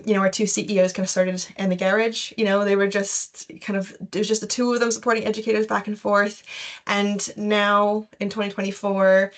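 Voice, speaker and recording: Canadian woman hosting an informal webinar. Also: mid-range voice. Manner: chatty, informal, loosely phrased. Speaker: webinar host, education recruitment consultant.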